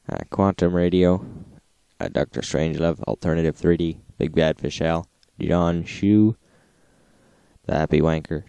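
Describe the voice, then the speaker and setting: A man with a gravelly voice hosting an informal recap, reading out logs in a flat, list-like manner. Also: very deep voice, dry recording. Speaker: host reading radio logs. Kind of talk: informal log recap narration.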